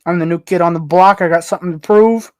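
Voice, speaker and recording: A young adult man chatting casually as a podcast guest, with a joking, animated manner. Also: sits high, fast talker. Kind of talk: casual chat.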